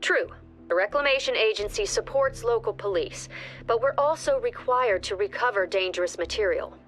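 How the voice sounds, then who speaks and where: An actress voicing a calm, authoritative agency director giving businesslike mission briefings in a video game. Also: female voice, fairly high pitch, normal speed, soft background music.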